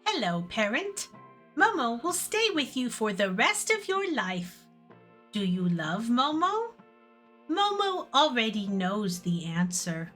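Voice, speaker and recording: Unsettling tiny creature, possessive and syrupy, dragged-out delivery. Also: male voice, very high voice, huge pitch swings, sharp tone, faint music behind, voice pushed up, heavily acted. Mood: eerie sweet. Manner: slow, sing-song, speaks of itself by name. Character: eerie small creature, cloying and possessive.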